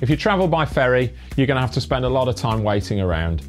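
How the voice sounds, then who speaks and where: Young British man, lapel mic, brisk earnest tips to camera, mid-pitched, faint music bed. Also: big pitch swings. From Britain. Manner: brisk, earnest explaining with dry asides. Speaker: family cycle touring vlogger. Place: indoor room with brick wall and bikes.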